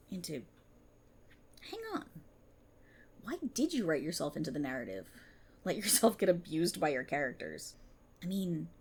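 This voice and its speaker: A woman playing a sardonic, menacing, resentful police officer in an ASMR roleplay, with a breathy voice and a mocking, theatrical delivery. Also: medium pitch, darkish tone, full character voice. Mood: puzzled, rattled.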